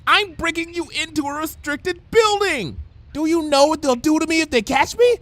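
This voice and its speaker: A man's voice: very high voice, moderate pace, a bit bright, dry recording, pitched above the performer's own voice. A man voicing a nervous, flustered female pony from a storybook, frantic and pleading. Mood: panicked, pleading. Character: nervous, panicky young female pony, reluctant conspirator.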